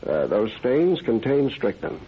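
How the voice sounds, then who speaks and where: Precise, emphatic criminologist, widely inflected. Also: male voice, mid-range voice, very animated, unhurried, husky, exaggerated voice. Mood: grave, precise. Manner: formal, methodical, emphatic. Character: precise, confident, learned criminologist doctor. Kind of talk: old-time American radio drama acting. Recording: dull, noisy vintage radio recording.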